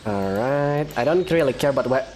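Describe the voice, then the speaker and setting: A man giving casual, expressive let's-play commentary, often repeating his phrases. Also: mid-range voice, a little fast, slight background noise. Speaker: gaming video creator.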